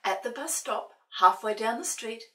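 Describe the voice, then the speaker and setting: Older female storyteller for young children, highly animated pitch, playful sing-song questions, recorded in a roomy space away from the mic. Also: moderate pace. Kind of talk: animated picture-book reading with asides to young children. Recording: roomy echo, distant microphone.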